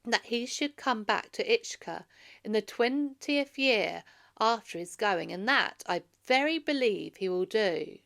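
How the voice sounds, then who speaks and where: A grave male seer voiced by a woman pronounces warnings in a storybook. The delivery is solemn and emphatic, in a bright, forceful voice. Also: on the high side, expressive, measured pace, vocal fry, full character voice. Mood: ominous.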